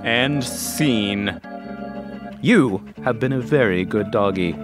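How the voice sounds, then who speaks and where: A man playing a theatrical narrator of a children's play, speaking in a deliberate, mock-grand showman's way. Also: lowish voice, lively intonation, over music. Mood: playful showman. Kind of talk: scripted kids' play narration.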